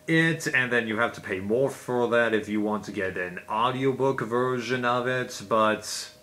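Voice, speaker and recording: A man's voice: lowish voice, sharp tone. A man giving a casual, unscripted explainer as a video host, in a rambling, conversational way.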